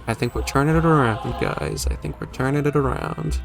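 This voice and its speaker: A man's voice: mid-range voice, fairly quick, creaky, over music. A man hosting a gaming video with casual, joking, self-deprecating commentary and some mild swearing.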